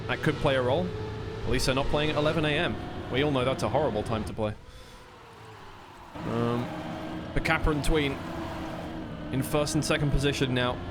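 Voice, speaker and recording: A man's voice: mid-range voice, noisy background. A man commentating live on an esports racing match, casually rambling and correcting himself between bursts of play-by-play. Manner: rambling, self-correcting asides.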